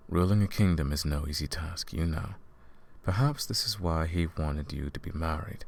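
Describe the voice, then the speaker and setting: A scheming royal advisor played by a man in an intimate fantasy audio drama. His voice is very low, with a gravelly creak in it. He addresses the listener with courtly, theatrical politeness, smooth-tongued and condescending beneath the show of sympathy. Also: darkish tone.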